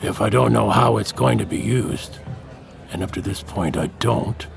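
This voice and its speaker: An actor playing a shrewd, evasive temple prior in an audio drama, with a sardonic and halting delivery. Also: male voice, fairly low pitch, creaky. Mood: cagey, sardonic. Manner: sardonic, hesitant drawl with stammered repeats.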